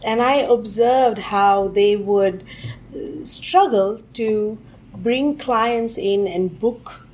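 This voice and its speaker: Woman, tech exec guest, mid pitch, thinks out loud with lots of uh's. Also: extremely slow, a little hiss or hum, poor audio. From India. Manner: thoughtful, with frequent "uh" fillers. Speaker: technology executive guest. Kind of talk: interview answers.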